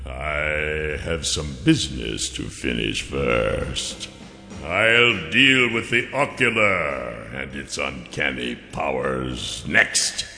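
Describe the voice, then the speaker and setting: A man voicing a menacing, gloating male dragon lord, slow and theatrical with a gravelly tone. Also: rumbling low, animated, bright, forceful tone, soft background music, voice pushed down, exaggerated voice. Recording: echoey long reverb.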